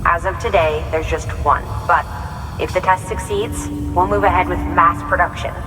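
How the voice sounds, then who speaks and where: An actress playing a reflective woman engineer in a video game cutscene, speaking in a measured, musing way. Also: lowish voice, fairly even intonation, lightly acted. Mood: matter-of-fact. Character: reflective woman engineer who designs delivery robots. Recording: echoey long reverb. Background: loud music.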